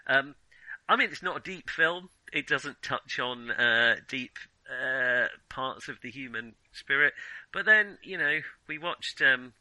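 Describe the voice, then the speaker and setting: A man co-hosting a film podcast in casual discussion, giving emphatic opinions and halting as he thinks aloud. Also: mid-range voice, lively intonation, bright, forceful tone, no room sound, rough recording.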